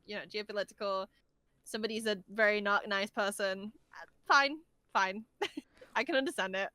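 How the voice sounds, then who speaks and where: A woman's voice: mid-range voice, moderate pace, pushed and bright, smooth, dry recording. A woman chatting casually as a podcast guest, playful and giggly, often rambling and hesitating as she works out what to say. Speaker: voice actor guest being interviewed.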